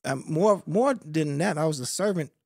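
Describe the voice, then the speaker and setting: A middle-aged man talking as a podcast guest in a studio, in a measured, reflective storytelling way. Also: a little high, expressive, vocal fry, no room sound. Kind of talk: reflective interview talk. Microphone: studio mic on boom.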